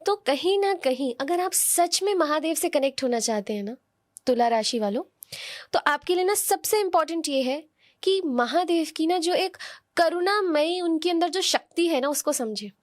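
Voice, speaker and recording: Woman, notably high voice. Conversational oracle-reader, instructive, speaks directly to the listener. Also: animated, moderate pace. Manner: instructive, addresses listeners directly, uses rhetorical questions and tag questions. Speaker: oracle card reader, podcast guest giving horoscope guidance. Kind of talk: conversational spiritual guidance.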